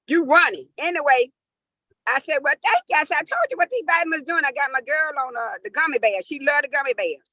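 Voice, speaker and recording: A woman, telling an animated testimonial full of acted-out dialogue. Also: high voice, fairly quick, no room sound. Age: 50s. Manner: lively, acts out quoted dialogue, emphatic. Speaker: caller sharing a testimonial.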